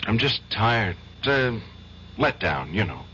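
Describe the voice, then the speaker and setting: A man's voice: on the low side, very animated, slow, bright, forceful tone, full character voice. An actor playing a troubled, weary young defense attorney in old-time radio drama, hesitant in his delivery. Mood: tired, evasive. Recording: muffled, noisy old radio broadcast recording.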